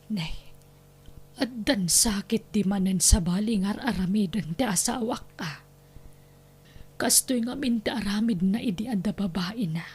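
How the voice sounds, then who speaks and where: A woman's voice: mid-range voice, a little mellow, husky. A woman playing a long-suffering, anxious wife and mother with an Ilocano accent in a radio-style family drama, pleading and plaintive. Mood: sorrowful.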